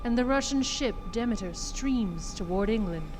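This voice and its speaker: A woman announcing and narrating a gothic radio drama in a measured, solemn, scripted way. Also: on the high side, expressive.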